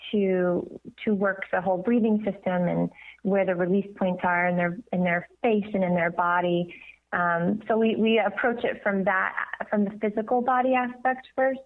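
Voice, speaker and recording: A woman's voice: medium pitch, flat delivery, a little slow. A woman speaking earnestly as an interview guest, explaining her work as a voice coach over a phone. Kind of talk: conversational radio interview. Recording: tinny, narrow phone line.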